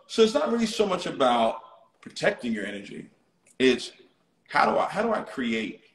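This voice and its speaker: Male motivational live host, highly animated intonation, repetitive and rhetorical, frequent check-ins with listeners, roomy echo. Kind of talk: motivational talk to a live online audience. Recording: roomy, echoey sound.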